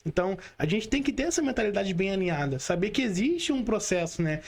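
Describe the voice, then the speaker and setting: Brazilian podcast host, mid-pitched, checking in often. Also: sing-song, fairly quick, darkish tone. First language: Portuguese. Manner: frequent tag questions seeking agreement. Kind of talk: casual podcast conversation.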